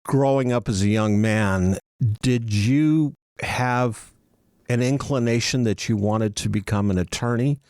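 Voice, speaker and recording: A man's voice: mid-range voice, a little slow, darkish tone, smooth, no room sound. A man hosting a studio podcast interview, asking friendly and enthusiastic questions at the podcast table. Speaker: podcast host.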